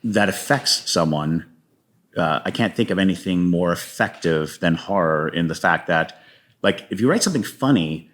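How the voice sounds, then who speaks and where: A man with a low voice talks as an interview guest about his craft. He speaks in a halting, thoughtful way, building explanations out of small quoted asides. The delivery is conversational and unscripted. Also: animated, a bit bright. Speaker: guest playwright.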